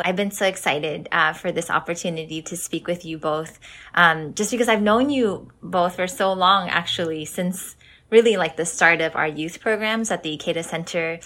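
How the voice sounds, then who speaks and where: A woman's voice: medium pitch, a bit bright. A woman hosting a podcast interview, reading a scripted intro and then chatting casually with many fillers. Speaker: podcast host.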